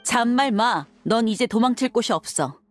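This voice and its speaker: An actress playing a tough, blunt young desert mercenary in a game, curt and confident. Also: female voice, a little high, animated, moderate pace, soft background music, close to an everyday voice. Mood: scornful. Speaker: voice actor in a game dub.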